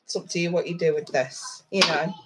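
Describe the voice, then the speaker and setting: A woman's voice: fairly low pitch, fairly even intonation, brisk, very noisy. A woman doing a casual tarot card reading, with a stuffed-up nose and a blunt, foul-mouthed way of talking. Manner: blunt, swears freely, chatty asides. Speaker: tarot reader.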